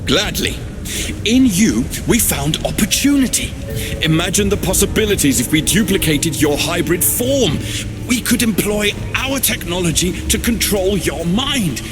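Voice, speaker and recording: An actor playing a coldly scheming villain scientist in a video game, with a menacing, relishing delivery. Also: male voice, high voice, very animated, a little slow. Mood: gloating, menacing. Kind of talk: dramatic video game acting. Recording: music behind the voice.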